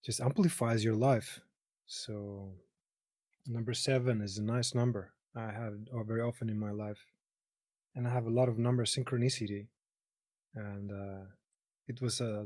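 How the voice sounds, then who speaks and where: A man's voice: on the low side, a little mellow, no echo. A man in his thirties from Greece with a Greek accent, talking casually as a guest and searching for words in his second language. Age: 30s. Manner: halting, searching for words in non-native English. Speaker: interview guest. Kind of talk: casual personal conversation.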